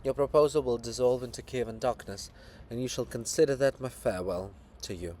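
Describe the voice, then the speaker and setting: A man's voice: mid-range voice, a little fast, a little mellow, lightly acted. A man voicing a proud, cold stone princess. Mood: reserved, stern. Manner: solemn, declamatory.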